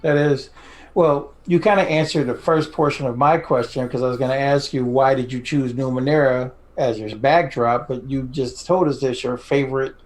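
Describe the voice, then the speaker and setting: Man, podcast co-host, casual interviewer, rambling, complimentary, mid-range pitch. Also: fairly even intonation, normal speed. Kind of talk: casual chat.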